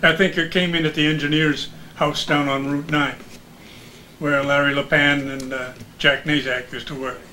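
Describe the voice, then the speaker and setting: A man's voice: fairly high pitch, level tone, normal speed, pushed and bright, slight background noise. An older man, a former radio announcer, telling anecdotes in casual chat.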